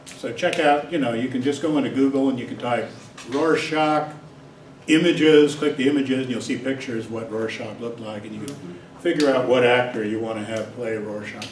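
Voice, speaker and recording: A man's voice: mid-range voice, fairly even intonation, normal speed. Guy lecturing a class, chatty asides, recorded from a distance, faint hiss. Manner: chatty, digressive, talks directly to students. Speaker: psychology lecturer. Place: lecture hall. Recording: roomy hall, distant mic, faint hiss.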